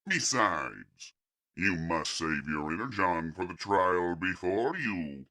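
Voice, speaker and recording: A man voicing a cold, lofty alien machine overlord in an audio comic, measured, pompous and commanding. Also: deep voice, animated, sharp tone, exaggerated voice. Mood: cold, disdainful. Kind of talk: audio comic dub villain acting.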